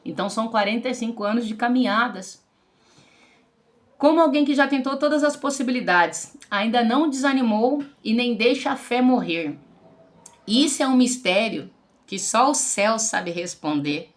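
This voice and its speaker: A woman's voice: fairly high pitch, animated, moderate pace. A Brazilian woman in her forties, a pastor, giving an earnest religious testimony to the camera and addressing listeners as brothers and sisters. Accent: Brazilian Portuguese. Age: 40s.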